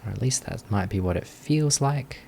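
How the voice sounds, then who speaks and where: A man leading a guided meditation as a teacher, giving gentle, unhurried instructions with long pauses between phrases. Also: mid-range voice, lively intonation, vocal fry, a little hiss or hum.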